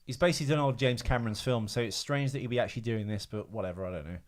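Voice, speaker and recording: A man's voice: mid-range voice, very fast. A man co-hosting a podcast with casual, comic film chat, full of swearing and mock-grumpy jokes. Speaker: podcast co-host.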